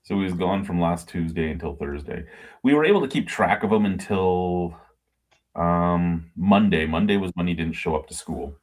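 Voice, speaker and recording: A man in his forties, low-pitched, rambling through casual anecdotes over a video call. He swears freely and loops back on himself as he talks. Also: normal speed. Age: 40s. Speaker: podcast co-host. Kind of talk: casual chat.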